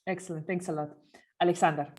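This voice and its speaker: A woman's voice: fairly low pitch, fairly even intonation, fast talker, darkish tone. A woman hosting a podcast, introducing and moderating in a presenter's scripted style. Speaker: podcast host. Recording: music behind the voice.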